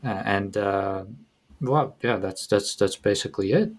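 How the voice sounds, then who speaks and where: A Dutch-accented male researcher talking through his introduction in a halting, self-deprecating way, full of uhs. His voice sits on the lower side. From Netherlands. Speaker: researcher, guest. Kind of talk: casual interview talk.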